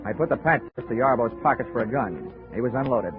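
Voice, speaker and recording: A man's voice: medium pitch, animated, fairly quick, close to an everyday voice. A hard-boiled male investigator played by an actor, sardonic and slangy, in an old radio play. The sound is narrow and tinny, with music around the scenes. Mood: wry narrating. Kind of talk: 1940s radio detective acting and narration. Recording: tinny 1940s radio recording.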